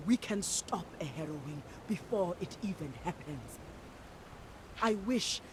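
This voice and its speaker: An actress playing an earnest, resolute priestess in a fantasy game, speaking formally and deliberately. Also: female voice, mid-range voice, natural voice. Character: earnest, resolute priestess leader of an island people. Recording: noisy ambient background.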